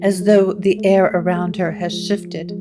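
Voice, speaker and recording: A woman narrating a scripted gothic audio drama in a measured, solemn, atmospheric manner. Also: on the low side, little pitch movement, music in the background.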